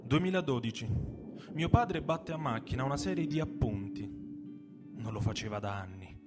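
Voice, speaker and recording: A man giving a measured, solemn dramatic reading of a literary text, with pauses between phrases. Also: mid-range voice, expressive. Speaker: reader in a radio reading performance. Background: plucked guitar music.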